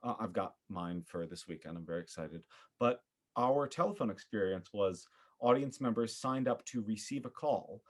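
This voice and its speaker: Thoughtful man talking theater, halting delivery. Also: fairly low pitch, expressive. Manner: thoughtful, halting, repeats words as he searches for phrasing. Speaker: podcast guest, theater maker. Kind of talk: conversational interview talk about theater.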